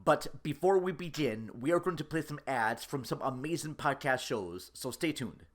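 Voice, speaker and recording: A man's voice: a little high, lively intonation, normal speed. A man hosting a podcast in a casual, somewhat hesitant and apologetic way, with informal introductions. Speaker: podcast host. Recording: dull lo-fi voice recording.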